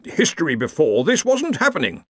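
A petulant old wizard, acted by a man, whining in a high, swooping voice. The recording is close and dry. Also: wildly swooping pitch, moderate pace, husky, no echo, full character voice. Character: peevish, complaining old male wizard.

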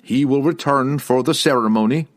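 A man voicing a brooding, hot-tempered young barbarian warrior in an audiobook, speaking formally and forcefully. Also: mid-range voice, normal speed, pitched above the performer's own voice, lightly acted. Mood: uneasy.

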